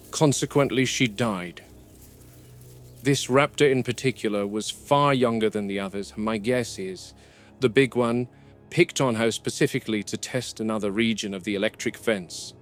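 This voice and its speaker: A man playing a grim, wary game warden who narrates a found-footage horror field log in a measured, grave, deliberate manner. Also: mid-range voice, close to an everyday voice. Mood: grim, clinical. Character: grim, wary male game warden logging predator behavior. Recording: noisy VHS-style found-footage recording.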